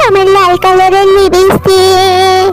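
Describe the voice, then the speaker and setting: A woman's voice: monotone, slow pace. A woman voicing a young cartoon girl who is tearful and whiny, with faint music behind. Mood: distressed. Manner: whiny, sobbing, high-pitched pleading.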